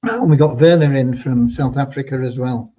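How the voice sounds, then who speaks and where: A slightly hoarse man hosting an informal livestream update for members, talking in a rambling, halting way with hesitant repeats. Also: medium pitch, mellow. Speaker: host giving business updates to members. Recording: dull, lo-fi online audio.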